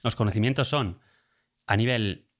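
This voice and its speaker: Low-voiced man with a Spain Spanish accent. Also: moderate pace. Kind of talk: casual informal explainer monologue.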